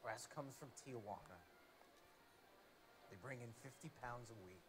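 An actor playing a shifty, needy police informant in a video game. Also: male voice, medium pitch, a little slow, lightly acted. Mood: wary. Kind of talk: video game dialogue acting.

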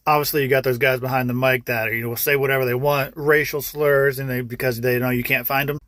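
A man's voice: mid-range voice, level tone, fast talker, a bit bright, no echo. Casual man, close and dry. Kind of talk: casual talk to camera. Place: inside a truck cab. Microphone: phone at arm's length.

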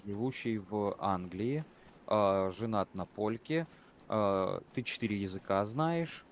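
Low-voiced blunt man chatting in game. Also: takes it slowly, a little hiss or hum. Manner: blunt, swears freely, rambling. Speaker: gamer on voice chat. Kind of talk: casual gaming chat.

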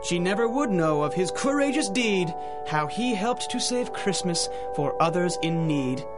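A man's voice: high-pitched, animated, a little slow, loud music behind the voice. A man giving theatrical, dramatic narration in rhyming storybook verse for a radio drama.

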